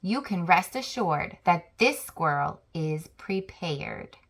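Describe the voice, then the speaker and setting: A woman hosting a children's channel, reading a picture book aloud with slow, expressive storytelling. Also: on the low side.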